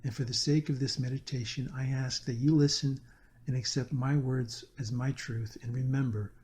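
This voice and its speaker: A man narrating a scripted guided meditation in a slow, deliberate, earnest manner. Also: medium pitch, fairly even intonation, a little mellow.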